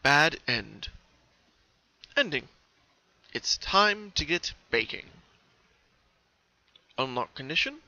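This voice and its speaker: Bright, dry-sounding dramatic story narrator. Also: medium pitch, big pitch swings, slow, long pauses, no echo, dull audio. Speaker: narrator, fan fiction reader.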